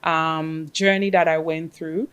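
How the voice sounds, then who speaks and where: A woman from the Caribbean whose first language is Spanish, chatting as an interview guest and telling her story with many "um" pauses. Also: low voice, flat delivery, fairly bright tone, clean tone, lo-fi sound. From Caribbean, grew up in Grenada. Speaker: guest, fintech project manager. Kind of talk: casual interview chat.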